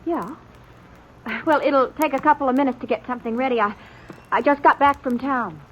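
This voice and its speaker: An actress playing a timid but determined young woman in a radio western, halting and anxious, with an American accent. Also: high-pitched, lightly acted. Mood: flustered. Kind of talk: 1950s radio western acting. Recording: muffled, slightly noisy old radio recording.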